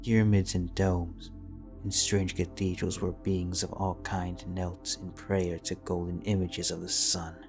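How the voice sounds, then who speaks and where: Deep, flat-voiced male narrator. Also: measured pace. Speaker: audiobook narrator. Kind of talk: scripted fanfiction narration. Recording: soft background music.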